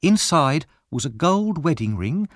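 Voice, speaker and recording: A calm, bold woman who shows no fear, played by a man reading aloud in a raised voice. Her firm lines swing lively in pitch, in a dry close sound. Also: measured pace, dry recording.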